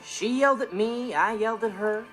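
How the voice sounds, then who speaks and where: A cocky American sitcom teen. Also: male voice, high voice, a little fast, a bit bright, faint music behind. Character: cocky, mischievous, laid-back teenage boy. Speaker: sitcom actor. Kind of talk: comedic monologue to the audience.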